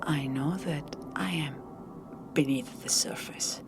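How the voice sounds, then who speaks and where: A woman telling a scripted first-person story in a hushed, deliberate, dramatic manner. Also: low-pitched, in a whisper, over music. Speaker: audio storyteller.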